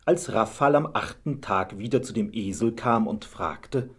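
A man with a husky voice narrating a scripted fairy tale as a storyteller. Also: fairly low pitch, unhurried.

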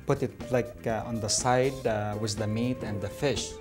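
A middle-aged man, a restaurant chef, explaining a recipe in halting full of pauses. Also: on the low side, fairly even intonation, over music. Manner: halting non-native English with many uhs. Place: studio kitchen set.

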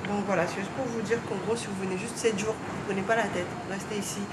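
A woman's voice: mid-range voice, fairly even intonation, fast. A young woman talking casually to a handheld camera on a hotel veranda, rambling with fillers. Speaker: travel vlogger. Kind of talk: casual vlog talk to camera. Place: outdoor hotel veranda with tropical garden. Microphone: handheld camera at arm's length. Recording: noisy outdoor camera audio.

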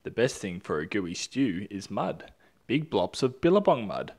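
A man's voice: fairly low pitch, sing-song, measured pace, darkish tone, exaggerated voice. A man voicing a helpful, opinionated platypus in a storybook, giving emphatic advice.

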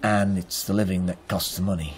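An actor playing a rueful, hesitant middle-aged husband in a naturalistic radio drama. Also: male voice, low voice, fairly even intonation, brisk, lightly acted. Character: rueful working man whose wife left him.

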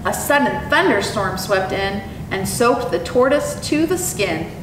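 A young woman presenting as a zoo educator and reading to children in an expressive, animated way. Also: a little slow. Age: young adult. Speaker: zoo educator and storytime host. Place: indoor room with painted animal mural. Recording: echoey, distant mic with clear rumbling noise.

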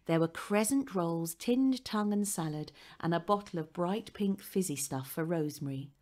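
A woman narrates a children's book. Her voice sits a little low, and she reads in a scripted storytelling style. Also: moderate pace, darkish tone. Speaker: audiobook narrator.

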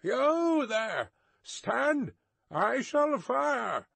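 A man voicing a gruff, suspicious armed coach guard in a storybook, with rustic old-fashioned speech and barked commands. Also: sits high, very animated, takes it slowly, a bit bright, dry recording, pitched above the performer's own voice, exaggerated voice. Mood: commanding, threatening. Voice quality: gruff, growling.

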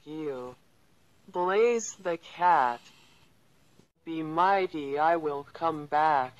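Low-pitched, slow-speaking woman over music. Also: no echo, muffled recording. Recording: music under the voice.

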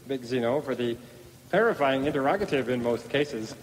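A man's voice: mid-range voice, expressive, moderate pace, long reverb tail, a little hiss or hum, natural voice, rough recording. An actor playing a fussy, pompous male language pedant with precise, lofty diction, in a radio comedy sketch. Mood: exasperated. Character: fussy, pompous male word pedant, prim and easily offended. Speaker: Mister Literal, pedantic language expert. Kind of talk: 1990s radio comedy acting.

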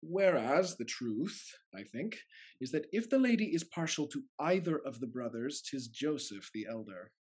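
A man acting a loyal, earnest family steward in a period stage comedy, measured and articulate. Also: fairly high pitch, lively intonation, a little mellow, natural voice. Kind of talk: theatrical period comedy acting over video call. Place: indoors, on a video call.

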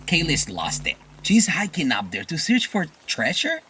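A woman's voice: low-pitched, wildly swooping pitch, moderate pace, music in the background. A woman playing a chatty, sly local guide in an audio drama. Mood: eager. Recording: clear background noise.

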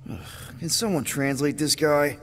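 A man's voice: fairly high pitch, moderate pace, a bit bright, soft background music, lightly acted. Man playing a brash young space adventurer. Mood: baffled, wry. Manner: wisecracking, informal. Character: young, brash, casual human space-adventurer team leader. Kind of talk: video game voice acting.

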